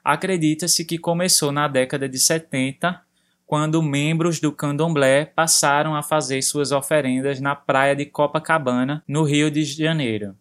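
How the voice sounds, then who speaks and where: A man with a Brazilian accent presenting a segment on a language-learning podcast, explaining terms slowly for learners. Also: a little high, fairly even intonation, a bit bright. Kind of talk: podcast lesson narration.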